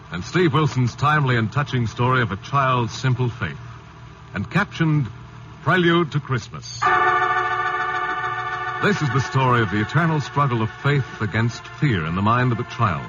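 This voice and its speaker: A man's voice: mid-range voice, very animated, moderate pace. A man narrating a 1940s radio drama as announcer, dramatic and declamatory. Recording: muffled old radio recording with music.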